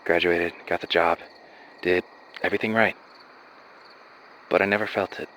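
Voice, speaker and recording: A man playing an anxious, earnest young soldier in an animated horror drama, speaking in a halting, confiding way. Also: low voice, slight background noise, rough recording. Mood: wistful. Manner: hesitant, halting confessions with sighs. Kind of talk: naturalistic dramatic dialogue in an animated horror series.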